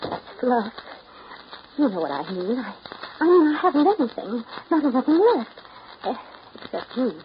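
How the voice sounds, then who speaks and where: An actress playing a restless, self-pitying woman afraid of getting old, pleading emotionally and haltingly in 1930s radio drama style. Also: fairly high pitch, sing-song. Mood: clinging, pleading. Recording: muffled, noisy 1930s radio broadcast recording.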